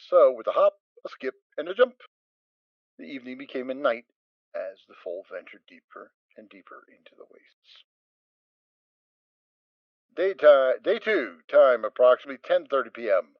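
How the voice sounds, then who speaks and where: A man narrating a dramatic reading of a story, steady and expressive. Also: medium pitch, moderate pace, no room sound, dull audio. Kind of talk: dramatic reading of fan fiction.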